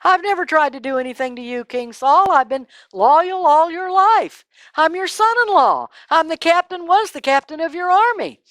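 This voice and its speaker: A woman's voice: extremely high pitch, expressive, moderate pace, fairly bright tone, dry recording, voice pushed up. A woman voicing a loyal, shrewd young warrior who speaks earnestly in a children's Bible story.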